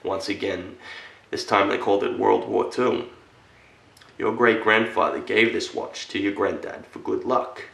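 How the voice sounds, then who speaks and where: A solemn military veteran, played by a man, speaks in a low, creaky voice in an echoey room. He delivers a dramatic film monologue as an earnest storyteller addressing a young boy, pausing deliberately between phrases. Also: fairly bright tone, far from the mic. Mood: earnest storytelling.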